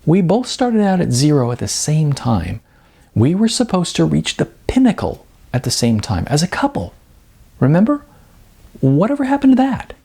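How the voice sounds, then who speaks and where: A man's voice: on the high side, huge pitch swings, moderate pace, gravelly, slightly rough, voice pushed up, full character voice. A man voicing an indignant, incredulous young woman being dumped by her boyfriend, in an audiobook. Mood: pleading, indignant. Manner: incredulous, pedantic, pressing with sharp questions. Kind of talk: audiobook character dialogue.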